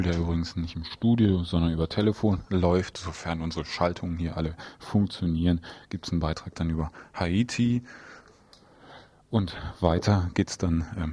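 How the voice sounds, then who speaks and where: A man hosting a radio programme, announcing what is coming up in an informal, halting way. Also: low-pitched, mellow, husky. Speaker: radio host. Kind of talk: loose live programme announcements.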